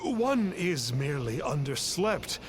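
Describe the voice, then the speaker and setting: An actor playing a pompous, blustering self-styled exorcist in an anime video game, speaking in grandiose, archaic phrases. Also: male voice, a little high, sing-song, takes it slowly, a crowd in the background. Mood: indignant. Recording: noisy game capture.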